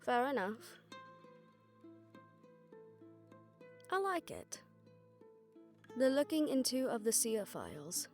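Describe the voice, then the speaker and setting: A woman's voice: fairly high pitch, very animated, unhurried, darkish tone, faint music behind. A woman playing a jaded, sardonic witch detective who sees visions of death, talking conversationally to a partner in a roleplay audio drama. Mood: playful, brainstorming.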